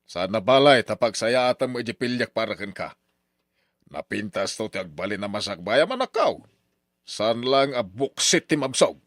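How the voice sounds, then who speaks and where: A man's voice: on the low side, sing-song, normal speed, fairly bright tone, no room sound, studio quality. A man playing a stern, money-minded older father in a radio drama, with an Ilocano accent and emphatic delivery. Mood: scolding.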